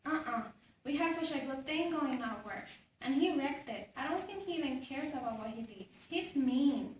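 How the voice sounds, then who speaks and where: A woman's voice: high-pitched, lively intonation, normal speed. A woman playing a resentful, complaining grown child in a readers theater performance. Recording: echoey room, distant mic, faint noise.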